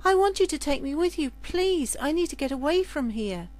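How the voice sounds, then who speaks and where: A woman reader puts on a young servant girl for a storybook adventure. The voice sits high, with a pleading edge, and she is defiant and quick with her answers. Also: lively intonation, a little mellow.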